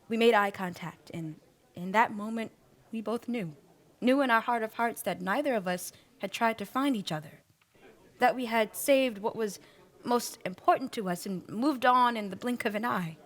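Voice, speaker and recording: Actress as weary survivor mother, reflective, halting, conversational, radio drama monologue. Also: medium pitch, lightly acted. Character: weary mother recalling disaster, survivor being interviewed.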